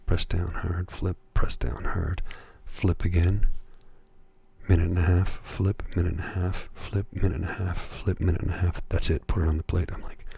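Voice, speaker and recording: A middle-aged man speaks in a mellow, very low voice, heavy with breath and a slight hoarseness. He talks slowly and reflectively, with plenty of fillers. His soft-spoken ASMR delivery sits close on near-field microphones. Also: little pitch movement. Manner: slow, reflective, rambling with fillers. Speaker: ASMR creator. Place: home at night.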